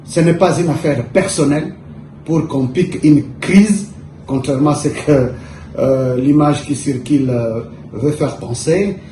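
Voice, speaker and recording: A man giving a statement to the press, deliberate and pausing with fillers. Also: a little high, mellow. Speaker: member of parliament. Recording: echoey room with background noise.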